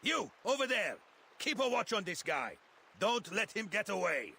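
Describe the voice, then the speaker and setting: An actor playing a wily, charming older schemer in a video game, with a folksy, theatrical manner. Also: male voice, high voice, sing-song, moderate pace, vocal fry, pushed and bright, breathy edge, exaggerated voice. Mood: commanding. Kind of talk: video game character acting.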